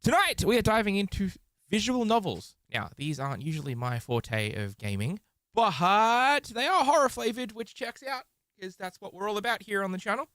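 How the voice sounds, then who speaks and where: A man's voice: sits high, sing-song, moderate pace, fairly bright tone, no room sound. A man hosting a casual game live stream, with a theatrical, showman-like way of talking.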